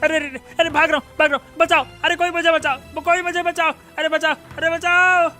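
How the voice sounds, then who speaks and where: A sneaky village thief for a Hindi cartoon. The voice is extremely high, sharp and forceful, greedy and cowardly in character. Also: male voice, little pitch movement, brisk, soft background music. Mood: pleading. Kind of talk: Hindi cartoon story dialogue.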